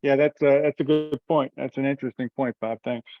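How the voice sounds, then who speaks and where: Male meeting chair, mid pitch, informal and halting, heard over dull, close-sounding conference audio. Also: steady tone. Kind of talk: informal group teleconference talk. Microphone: teleconference call.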